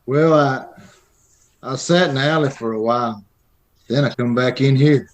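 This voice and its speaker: An actor playing a meek, simple, gentle frontier man with a halting, timid way of talking. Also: medium pitch, close to an everyday voice. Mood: meek, unsure. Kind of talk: old-time Western radio acting.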